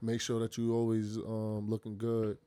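A young man with a New York accent and a deep voice, a laid-back podcast guest chatting casually in relaxed slang. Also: moderate pace, smooth, dry recording.

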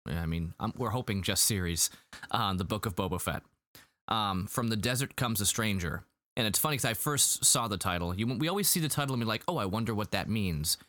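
Low-voiced man hosting a casual review chat. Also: a little fast, no room sound. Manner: relaxed, chatty, many fillers and restarts. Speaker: podcast host.

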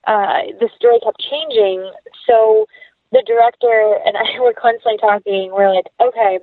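A woman's voice: a little high, normal speed, no echo, narrow, tinny audio. A woman speaking as an actress being interviewed, chatting casually and animatedly with quoted asides, over a thin remote call.

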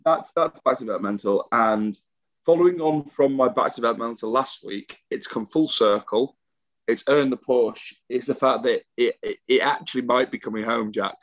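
Animated English man ranting, dry dull sound. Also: medium pitch, moderate pace, no room sound. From England. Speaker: podcast co-host. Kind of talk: casual chat. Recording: dull dry home mic.

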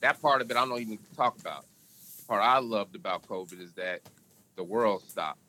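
A man's voice: fairly quick, bright, forceful tone, slight background noise. A man guest on a podcast, talking in a casual, emphatic, storytelling way. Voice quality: can go deep. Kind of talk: casual interview talk.

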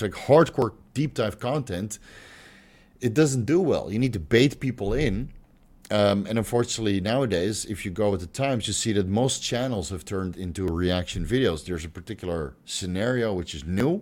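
A young man hosting a casual livestream Q&A, joking and swearing freely, with his voice turning hoarse and stuffy. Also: fairly low pitch, darkish tone. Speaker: host answering viewer questions.

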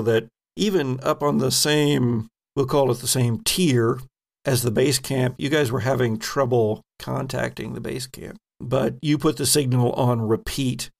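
A man narrating a tabletop role-playing game as game master, in a measured, halting way as he thinks aloud. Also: medium pitch, creaky.

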